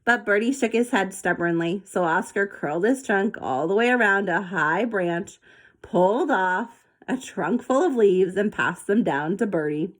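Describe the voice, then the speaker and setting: A woman reads a children's story aloud in a mid-pitched voice. Her delivery is expressive and animated, as a storybook narrator. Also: unhurried. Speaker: storybook reader.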